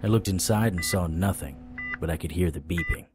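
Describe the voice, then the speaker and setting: A man plays a supernatural private investigator telling his own story in a low voice. He is wry, deadpan and world-weary, in the noir manner of audio drama. Music plays clearly behind him. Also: a little fast, close to an everyday voice. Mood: wary, suspenseful. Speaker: narrator and lead actor. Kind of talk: noir first-person audio drama narration.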